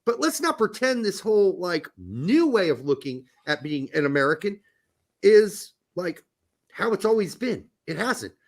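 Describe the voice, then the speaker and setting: A man's voice: sits high, sing-song, measured pace. A man co-hosting a sports podcast, ranting and swearing in a casual debate. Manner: profane, ranting, emphatic. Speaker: podcast co-host.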